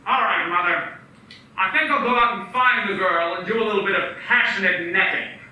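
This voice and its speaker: A man's voice: high voice, normal speed. An actor in a community radio play voicing George, a restless young man who jokes and banters with his family. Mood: teasing. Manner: lively, bantering, shifts to subdued. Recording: echoey room from a distance.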